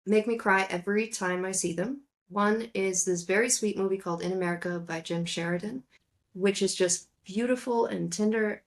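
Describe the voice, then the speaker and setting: A woman co-hosting a casual film discussion, talking in a playful, jokey way that wanders into digressions. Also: mid-range voice. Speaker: podcast co-host.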